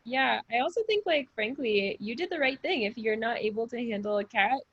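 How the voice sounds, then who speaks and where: A woman's voice: fairly high pitch, lively intonation, fairly quick, a bit bright, breathy edge, no room sound. A woman in casual conversation, frank and reassuring in manner. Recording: dull, dry, lo-fi audio.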